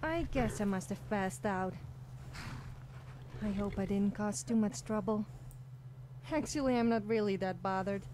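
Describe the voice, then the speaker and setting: A woman's voice: medium pitch, unhurried, pauses often, darkish tone, a little hiss or hum, natural voice. A woman voicing a cheeky, boastful young adventurer in a fantasy game, with a sassy, theatrical manner. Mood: breezy, boastful. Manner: sassy, theatrical, self-important. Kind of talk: scripted fantasy game character dialogue.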